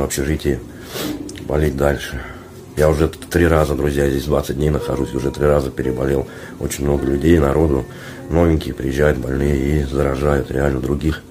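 A man in his thirties with a sore throat and failing voice, chatting haltingly to viewers as a vlogger in a small dorm room, recorded on a handheld phone. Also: rumbling low. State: sick with sore throat, lost voice. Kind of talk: casual vlog talk to camera. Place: small dormitory room, indoors. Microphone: handheld phone at arm's length.

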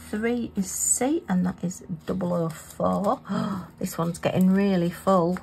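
A middle-aged woman talking casually to herself while crafting, her voice going hoarse. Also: mid-range voice, darkish tone, a little hiss or hum, rough recording. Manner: chatty, talks to herself. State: losing her voice. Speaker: craft vlogger.

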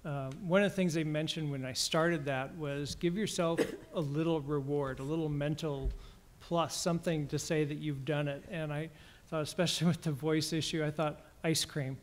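A middle-aged man speaking on a conference panel, relaxed and good-humored, into a stand microphone. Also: a little high, normal speed, hardly any pauses. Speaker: patient panelist. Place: conference hall stage panel. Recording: long reverb of a conference hall.

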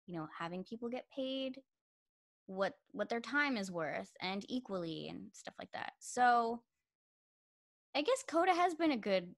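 A woman's voice: very animated, measured pace, gravelly. A young woman interviewing a guest over a video call, chatty and full of fillers, with a youthful-sounding voice. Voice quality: says she sounds young with a high voice.

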